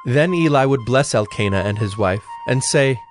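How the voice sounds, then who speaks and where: A man's voice: medium pitch, animated, normal speed, faint music behind. A man narrating scripture from a script in a solemn style.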